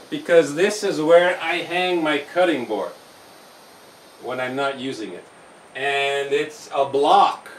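A middle-aged man with a fairly high voice talks very slowly and gently to a camera across a small brick kitchen. The sound is roomy and distant, with a faint hiss behind it. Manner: slow, gentle, with fillers and restarts. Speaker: home cooking channel host. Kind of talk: casual talk to camera. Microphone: camera mic from across the room.

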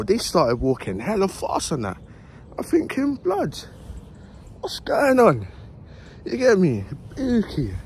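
A young man with a London accent chatting in slang to his phone camera while out jogging, with lively asides. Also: mid-range voice, a little hiss or hum. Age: young adult. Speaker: vlogger. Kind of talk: casual vlog talk to camera. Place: outdoors in a park and streets at dawn, also a bedroom. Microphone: phone held at arm's length.

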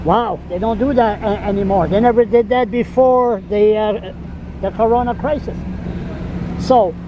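A man interviewing someone on a city sidewalk, asking blunt, gruff questions. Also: sits high, moderate pace, dull audio, background noise. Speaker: street interviewer filming on a phone. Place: city sidewalk outdoors in winter.

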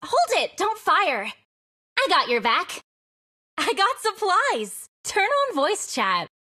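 A woman's voice: very high-pitched, wildly swooping pitch, slow pace, pushed and bright, full character voice. A woman playing an eager young female soldier, calling short emphatic commands as a video game voice. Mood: urgent, commanding. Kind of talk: video game voice pack callouts.

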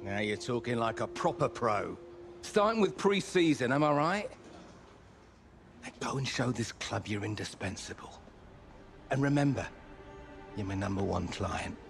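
Creaky, animated middle-aged football agent. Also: male voice, mid-range voice, moderate pace, pauses often, soft background music. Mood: persuasive. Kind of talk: naturalistic video game cutscene dialogue.

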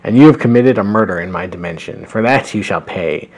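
A man voicing a smug male ruler of hell who speaks in a slow, threatening way. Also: on the low side, darkish tone, lightly acted. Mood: menacing. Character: booming male ruler of hell, calm and menacing.

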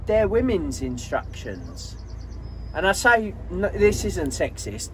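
A middle-aged British man chats casually to the camera in a fairly high, very animated voice. He banters and teases as he goes. Clear background noise sits behind him on the camera's audio. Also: slow pace. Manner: jokey, teasing banter. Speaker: vlog host. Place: camper van, farm yard and outdoor spots.

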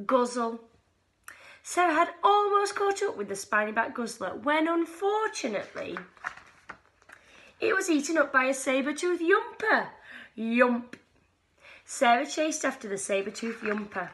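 A woman in her thirties reading a children's picture book aloud expressively, with dramatic pauses and drawn-out key words. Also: high voice, fairly bright tone. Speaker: storyteller reading a bedtime picture book for schoolchildren. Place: small living room at home, indoors.

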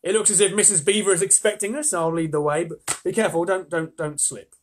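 Man playing a high, hearty Cockney talking beaver. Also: steady tone, brisk, a little hiss or hum, lightly acted. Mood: welcoming, careful. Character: modest, hearty male talking beaver host.

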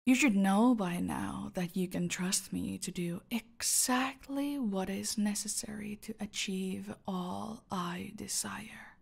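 A woman playing a domineering, seductive cartel boss in a scripted audio roleplay, haughty and menacing, with a breathy voice that often drops to a whisper. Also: mid-range voice, exaggerated voice. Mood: cold menace. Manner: slow, menacing, haughty, purring endearments.

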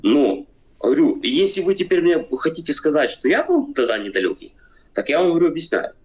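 A man's voice: a little high, sing-song, a little fast. A man in his forties from Ukraine, talking from an armchair at home over a video call, hesitant and full of fillers. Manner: hesitant, many fillers, repeats himself. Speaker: video chat guest. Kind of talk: casual debate over video chat. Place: home room, seated in armchair. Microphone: webcam on video call. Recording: dull lo-fi video call audio.

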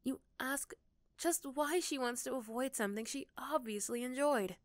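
A woman's voice: sits high, moderate pace, dry recording. A young woman narrating a second-person ASMR story as its narrator, close to the microphone. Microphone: close, dry microphone.

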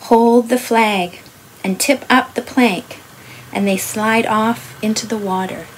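A middle-aged woman reads literary non-fiction aloud in a deliberate way, phrase by phrase. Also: fairly high pitch, fairly even intonation, slight background noise, rough recording. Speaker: author reading aloud. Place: small room with wooden beamed ceiling.

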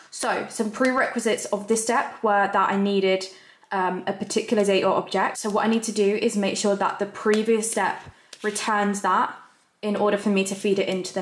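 A woman's voice: mid-range voice, echoey room, a little hiss or hum. A young woman vlogger who talks to camera from her home desk and explains how she plans coding projects step by step, in a practical, casual way. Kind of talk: casual tutorial talk to camera.

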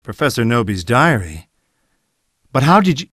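A wisecracking American horror game hero stuck in an asylum. He is brash and sarcastic, with a mid-pitched voice in a close, dry studio sound. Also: big pitch swings, normal speed, fairly bright tone, dry recording. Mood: puzzled. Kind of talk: video game cutscene acting.

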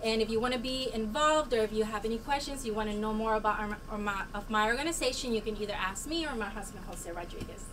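A young woman makes a casual, slightly hesitant announcement at a community meeting. Her voice is a touch high, and it comes across from a distance. Clear room noise sits behind her. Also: fast talker, hardly any pauses. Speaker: community organizer. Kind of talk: short announcement.

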